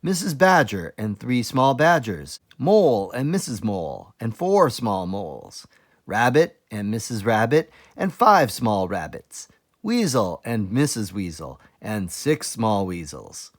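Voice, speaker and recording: Slow, animated man reading aloud. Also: on the low side. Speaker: teacher librarian reading aloud. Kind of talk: children's storybook read-aloud.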